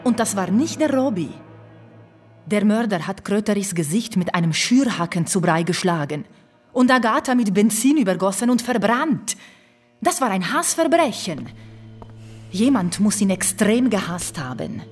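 A woman's voice: mid-range voice, big pitch swings, normal speed, soft background music, natural voice. An actress playing an outspoken, loyal woman musician in a radio drama, with blunt, colloquial delivery. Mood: accusing, intense. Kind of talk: naturalistic radio drama acting.

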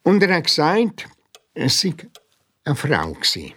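Detective sidekick, husky, lively pitch, eager suspenseful storyteller, children's audio drama. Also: male voice, slow pace, heavily acted. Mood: excited, secretive. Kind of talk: children's radio detective play dialogue.

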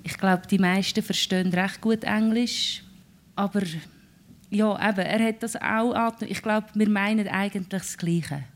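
A woman's voice: mid-range voice, fairly even intonation, a little slow. A singer-songwriter woman with a Swiss German accent, talking thoughtfully about her songwriting in an interview. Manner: thoughtful, searching for words. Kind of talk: casual radio interview talk.

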